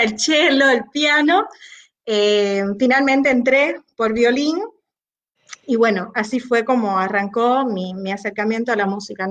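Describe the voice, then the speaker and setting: A woman from Cuba with a Cuban Spanish accent, a conductor interviewed over a video call, talking thoughtfully with frequent pauses. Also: high voice. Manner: warm, thoughtful, pauses often with eh. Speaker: orchestra conductor, guest.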